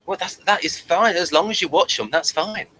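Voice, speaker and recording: A man hosting a casual review chat, talking in a halting way and repeating words and phrases. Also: high voice, bright, forceful tone. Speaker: host of a TV review show. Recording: faint background noise, room ambience.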